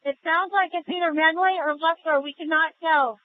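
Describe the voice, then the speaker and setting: A woman's voice: very high-pitched, steady tone, fairly quick, no room sound. A woman working as a police dispatcher, relaying calls over a police radio. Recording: tinny dry police radio channel.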